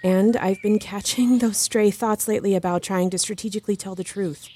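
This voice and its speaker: An actress playing a calm, supportive counselor friend in an audio drama, coaxing in a measured, soothing manner. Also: female voice, medium pitch, slight background noise, close to an everyday voice. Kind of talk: fiction podcast audio drama acting.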